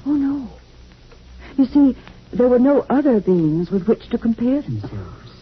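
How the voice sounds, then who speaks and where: An actress playing a gentle, sorrowful android wife in a 1950s radio drama, speaking softly and wistfully in measured phrases. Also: female voice, fairly high pitch, huge pitch swings. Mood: wistful, tender. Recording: muffled, noisy old radio recording.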